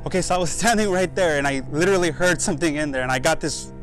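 A man's voice: fairly high pitch, fast, faint music behind. A young man hosting a paranormal investigation vlog, talking casually outdoors. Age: young adult. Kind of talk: casual on-location vlog talk. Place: outdoors beside a lighthouse building.

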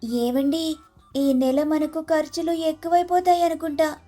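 A woman voicing a sharp-tongued, aggrieved young Telugu wife in an animated moral story. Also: sits high, measured pace, soft background music. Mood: wry.

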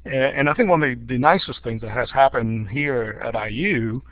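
Older Cuban-born man, halting and warm-hearted. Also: mid-range voice, no room sound. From Cuba. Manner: hesitant, many fillers and restarts, chuckles at his own jokes. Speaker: dean of a school of education. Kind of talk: casual spoken overview on a webinar. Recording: dull lo-fi online audio.

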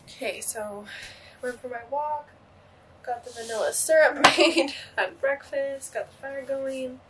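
A young woman in her twenties vlogging casually from her cozy wooden home, chatty and upbeat and a little hoarse from a cold. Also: sits high, a little slow, background noise. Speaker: vlogger and songwriter. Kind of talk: casual chat to camera. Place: cozy wooden home kitchen and living room.

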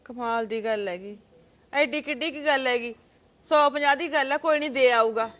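A woman's voice: moderate pace, a bit bright. A woman playing an older mother, pleading and lamenting, bristling when challenged. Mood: indignant, aggrieved. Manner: pleading, lamenting, pitch rises in arguments. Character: grieving older mother, defensive, talks back. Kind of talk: family drama skit.

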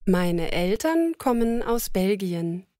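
A woman reading lines of a slow scripted conversation for language learners, repeating each sentence. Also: medium pitch, big pitch swings, mellow, dry recording, clean studio sound. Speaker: language lesson voice.

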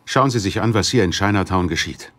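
A middle-aged man of standing, speaks in a deep voice. He is formal and measured, a worried, serious father in an audio drama. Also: fairly bright tone. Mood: solemn, ominous. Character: grave, worried Chinese businessman, father of missing daughter. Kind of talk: German radio play dialogue.

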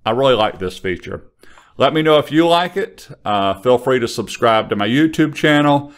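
A man presenting a casual software tutorial into a close studio mic. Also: medium pitch, lively intonation, moderate pace. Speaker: software trainer. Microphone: large studio condenser microphone on an arm, close.

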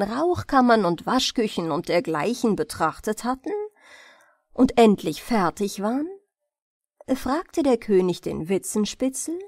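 A woman reading fairy tales as an expressive bedtime audiobook narrator. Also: mid-range voice, no echo, studio quality.

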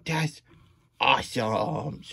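Childlike storybook hedgehog host, played by a man: breathy, excitable, unhurried, close and dry sound. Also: medium pitch, dry recording, full character voice. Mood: amazed, delighted. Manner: childlike broken grammar, third-person self-reference, gasping wonder. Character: excitable, childlike hedgehog plush reading a picture book. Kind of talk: character read-aloud with chatty asides. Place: small room indoors at a table.

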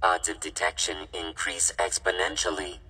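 An actor voicing a flat, clipped male robot analyst in a game, with a robotic filter. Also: moderate pace, long reverb tail, low-quality recording. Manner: monotone, clipped machine phrasing.

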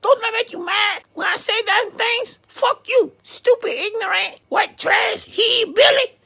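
A man voicing a shouting heckler who hurls insults in clipped broken English. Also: extremely high pitch, a little slow, gravelly, voice pushed up, exaggerated voice. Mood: mocking.

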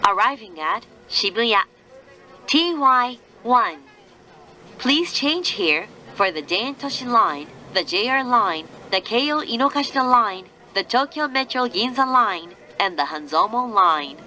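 A woman gives formal train announcements with careful diction. Her delivery is slow with lively intonation and a bright tone, over the noise of the train. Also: a little high, poor audio. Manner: formal, measured, carefully enunciated. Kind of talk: scripted public train announcement. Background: moving train noise.

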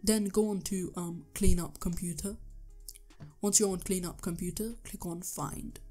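A young man with a sore, hoarse throat, talking through a computer tutorial step by step, with the odd um. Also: sits high, fairly even intonation, a little slow, darkish tone, faint music behind. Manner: earnest step-by-step instructions with ums. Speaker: tech tutorial youtuber. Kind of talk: screen-recorded tutorial voice-over.

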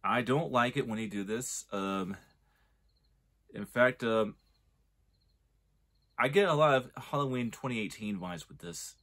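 A man's voice: mid-range voice, unhurried, pauses often, fairly bright tone. A man in his forties giving a casual, rambling film review, often restarting his sentences as he argues a point. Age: 40s. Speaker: movie reviewer.

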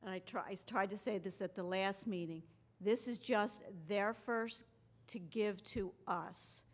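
A woman's voice: mid-range voice, steady tone, measured pace. A woman explaining procedure as a finance official at a meeting, in a patient manner. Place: council chamber.

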